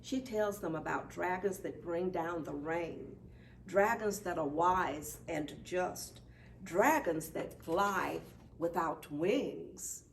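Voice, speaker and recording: A woman reading a children's picture book aloud at story time, expressive and deliberate. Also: lowish voice, vocal fry. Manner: deliberate, animated. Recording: distant mic, faint background noise.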